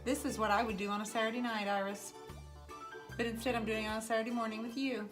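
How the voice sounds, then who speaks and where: A woman's voice: a little high, level tone, quick pace, a bit bright, soft background music. A woman hosting an improvised painting demonstration, chatting casually and playfully with exclamations. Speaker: host of a painting puppet show.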